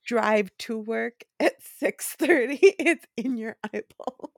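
A woman's voice: on the high side, takes it slowly, creaky, dry recording, clean studio sound. A woman with a Wisconsin accent co-hosting a casual podcast, giggly and throwing in playful remarks. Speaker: podcast co-host. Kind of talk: casual chat.

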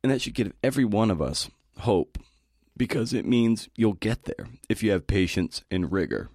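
A middle-aged man with a low voice delivers a prepared talk, dropping in casual asides. He is folksy and encouraging. The sound is close and dry, with little room around it. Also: animated, normal speed, darkish tone, dry recording. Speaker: podcast host giving a talk.

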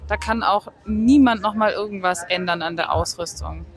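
A woman's voice: lowish voice, normal speed. A woman co-host at a horse show, asking earnest follow-up questions in an interview. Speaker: podcast co-host. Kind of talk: on-location interview.

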